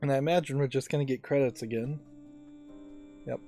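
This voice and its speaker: A man's voice: medium pitch, fairly even intonation, a little fast, dark tone. A man giving casual let's play commentary on a video game in a musing, analytical way. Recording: faint game music behind.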